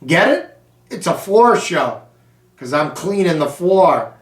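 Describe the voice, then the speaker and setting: A friendly male night janitor acted by a man reading a storybook, booming and folksy. He chats cheerfully with lively rises and falls. The sound is echoey and a little far from the microphone. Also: slow pace, heavily acted. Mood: jovial, showy. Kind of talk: children's storybook character voice. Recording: roomy echo, distant microphone.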